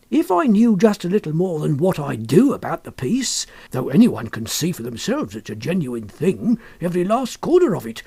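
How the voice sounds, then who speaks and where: A man's voice: sits high, gravelly, a little mellow, slightly rough, voice pushed up, heavily acted. A man voicing an obsequious, wily antique dealer in an audiobook, full of wheedling sales patter and colloquial grammar. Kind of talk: audiobook character acting.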